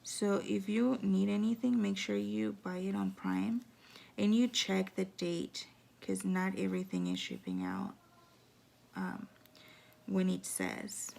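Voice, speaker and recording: A woman with a hoarse, raspy voice giving a casual at-home nail how-to, chatty with lots of fillers. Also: medium pitch, darkish tone. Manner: chatty, filler-heavy, drops into whispers. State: hoarse from talking. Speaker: nail tutorial vlogger.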